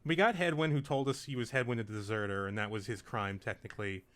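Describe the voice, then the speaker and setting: A man acting as game master, talking casually and haltingly as he hosts and narrates a tabletop game session. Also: on the low side, steady tone, fairly bright tone. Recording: music playing behind the voice. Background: music.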